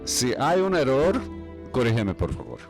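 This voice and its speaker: A man hosting an interview in a language that is not his first, speaking haltingly with many pauses and fillers. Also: mid-range voice, huge pitch swings, soft background music. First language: not Spanish. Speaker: host. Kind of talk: interview podcast. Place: home, video call.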